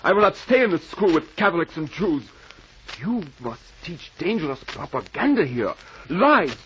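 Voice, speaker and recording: An actor playing a defiant German schoolboy newcomer in an old radio drama, with stiff, formal, indignant phrasing. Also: male voice, on the high side, sing-song, normal speed, a bit bright. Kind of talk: 1940s radio acting. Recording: muffled, noisy old radio recording.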